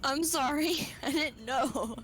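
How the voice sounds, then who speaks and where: A woman's voice: slow, fairly bright tone. A woman chatting casually as a co-streamer in a gaming voice chat. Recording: noisy voice chat, background rumble.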